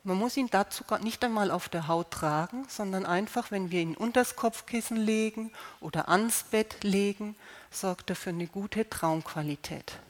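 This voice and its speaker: A woman with a slight cold calmly explaining how to use healing stones, unhurried, with fillers and restarts. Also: mid-range voice. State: slight cold, clearing throat. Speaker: gemstone healing presenter.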